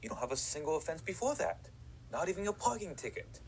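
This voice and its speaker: A man playing a prison psychiatrist who asks measured, inquisitive questions in an audio drama. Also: on the high side, sing-song, a little hiss or hum, rough recording. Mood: inquiring. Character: male prison psychiatrist, probing and polite. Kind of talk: fan audio drama acting.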